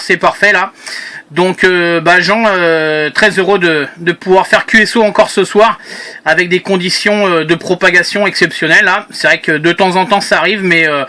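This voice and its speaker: A man's voice: high-pitched, sharp tone. A man chatting casually as a radio operator, rambling and repeating himself with trailing hesitations. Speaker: amateur radio operator and video maker. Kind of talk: casual radio contact chat.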